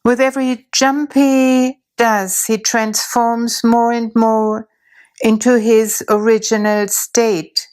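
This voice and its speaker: A woman narrating a guided imagery story in a slow, soothing way, with long pauses. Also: on the high side, level tone, a little mellow, smooth.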